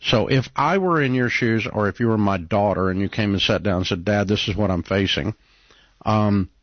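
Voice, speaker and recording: A man's voice: fairly low pitch, normal speed, dry recording, lo-fi sound. A man hosting a call-in advice show, questioning a caller directly and working through the numbers with her. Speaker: radio advice host.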